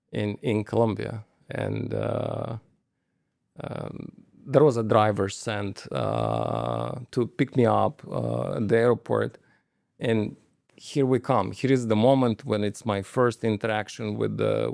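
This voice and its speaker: A man's voice: medium pitch, vocal fry, a little mellow, no room sound, clean studio sound. A man, a company manager telling stories in an interview, hesitant, with many fillers and restarts. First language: Romanian. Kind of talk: conversational interview storytelling.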